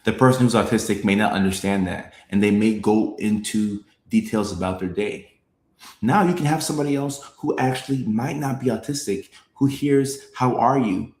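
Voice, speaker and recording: Man, low voice, host, casual explaining, animated, echoey room. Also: normal speed. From Norway. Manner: animated, acts out quoted voices, repeats phrases, checks in with "right?". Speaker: show host. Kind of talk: casual explanatory talk, reading chat comments.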